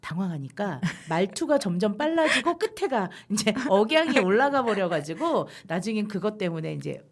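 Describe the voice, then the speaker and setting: A woman's voice: medium pitch, big pitch swings, normal speed. A woman from Gangwon Province with a Gangwon accent, co-hosting a community podcast, politely leading the casual chat. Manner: polite, gently leading the talk. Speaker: podcast co-host.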